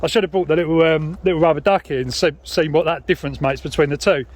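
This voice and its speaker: A man's voice: on the high side, steady tone, quick pace. A British man in casual, informal radio chat while walking outdoors, hesitating and trailing off now and then. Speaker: radio hobbyist and vlogger. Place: outdoors on a dog walk.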